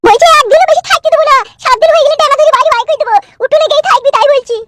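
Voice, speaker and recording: Shrill, spiteful village wife. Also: female voice, very fast, heavy background noise. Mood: scolding. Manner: threatening, scolding, foul-mouthed. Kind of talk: comic cartoon dialogue.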